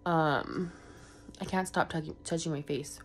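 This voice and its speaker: A woman's voice: low-pitched, unhurried. A young woman, congested from a cold, rambling casually in a vlog with lots of fillers. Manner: rambling, filler-heavy, chatty. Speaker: vlogger.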